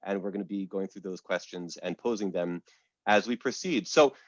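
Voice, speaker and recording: A man hosting and introducing guests over a video call, speaking in a measured way with frequent "uh" fillers. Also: deep voice, dry recording. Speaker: academic host and moderator. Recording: dull, lo-fi video call audio.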